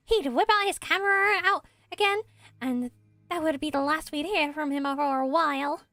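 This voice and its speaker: A woman voicing a small, excitable fairy companion in a cutesy, chirpy manner for game quest dialogue. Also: high-pitched, moderate pace, no room sound. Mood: chirpy, curious. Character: Paimon, small high-voiced excitable female fairy companion. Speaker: amateur voice actor.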